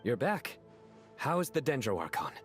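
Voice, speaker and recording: A man's voice: mid-range voice, big pitch swings, normal speed, fairly bright tone, faint music behind. A man voicing a composed, commanding young desert lawman in an anime game, terse and serious.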